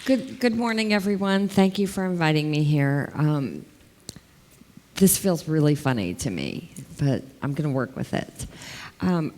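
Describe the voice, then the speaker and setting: A woman's voice: fairly low pitch, darkish tone. A woman in her fifties with a big voice, an elder law attorney giving a plain-spoken community talk and explaining step by step with examples. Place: large hall, indoors. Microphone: headset mic. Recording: long hall reverb.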